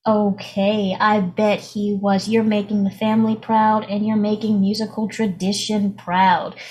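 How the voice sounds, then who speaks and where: A woman's voice: medium pitch, level tone, a little slow, a little mellow, a little hiss or hum, natural voice. A woman voicing an upbeat female presenter who interviews a new pop group in a tabletop game, emphatic and repeating her phrases. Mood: bright, encouraging.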